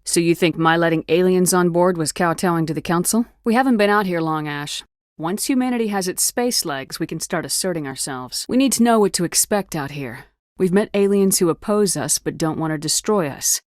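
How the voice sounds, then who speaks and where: A woman's voice: on the low side, animated, brisk, no room sound, close to an everyday voice. A woman playing a tough, decisive military commander in a video game, with firm, dry, wry delivery. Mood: firm, reflective. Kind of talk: video game dialogue acting.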